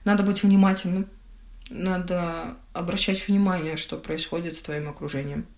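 A young woman giving a candid personal account as a podcast guest, speaking in halting, reflective phrases. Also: fairly low pitch, fairly even intonation, darkish tone.

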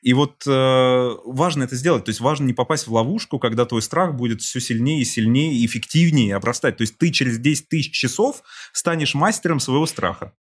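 A man speaks as a podcast guest, with a husky, slightly breathy voice. He tells a long story, explains it step by step, and acts out the people he quotes. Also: medium pitch, fairly quick, hardly any pauses. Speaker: podcast guest, creative director. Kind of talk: relaxed interview storytelling.

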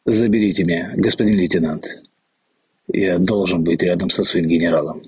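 A man's voice: sits low, fairly even intonation, moderate pace, a little mellow, husky, dry recording. An actor playing a captured German colonel, a loyal adjutant, formal and deferential as he insistently pleads in a radio play. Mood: formal, earnest. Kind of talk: Russian radio play acting.

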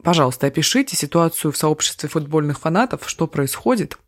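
A man's voice: on the high side, animated, quick pace, no echo, clean studio sound. A man co-hosting a news discussion show, explaining topics steadily and putting questions to a guest.

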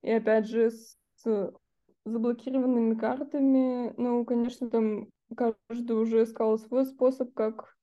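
A woman's voice: a little high, level tone, a little slow, mellow, muffled recording. A young woman from Russia, an exchange student, answering interview questions in a hesitant, thoughtful way. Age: young adult. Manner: hesitant, thoughtful, many fillers.

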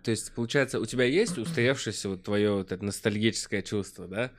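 A man's voice: fairly low pitch, fast talker. A man chatting casually as the host of a podcast. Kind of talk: casual chat.

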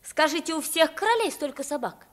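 A woman's voice: sits high, brisk. An actress playing a naive, plain-spoken poor boy taken for a king, in an old radio drama. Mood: curious. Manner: boyish, naive, expressive. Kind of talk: Soviet 1950s radio play acting.

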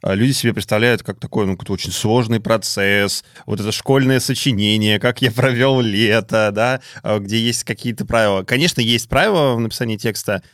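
A man hosting a casual podcast chat, talking in a measured way and thinking aloud with hesitations. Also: on the low side, fairly bright tone, no echo, clean studio sound. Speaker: podcast host.

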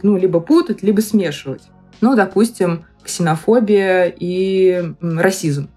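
A woman in her thirties giving reflective explanations in a podcast, picking her phrases haltingly and deliberately. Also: mid-range voice, warm tone. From Moscow, Russia.

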